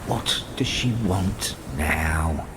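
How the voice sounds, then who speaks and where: An actor playing a solemn, mighty enchanted fish in a fairy tale audio drama, speaking in weighty, terse pronouncements. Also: male voice, deep voice, extremely slow, background noise. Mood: solemn, commanding.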